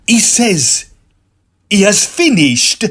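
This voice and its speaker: A man plays an excitable Italian opera singer with a thick sense of melodrama. His Italian-accented delivery takes its time, yet the pitch swings widely. It suits a farcical Victorian audio drama. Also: very slow, heavily acted. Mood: subdued.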